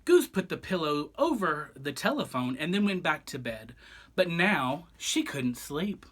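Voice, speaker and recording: A man's voice: on the high side, very animated, unhurried, fairly bright tone. A man reading a picture book aloud expressively and theatrically, with chatty asides straight to the listeners. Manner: theatrical, addresses listeners directly. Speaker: storyteller.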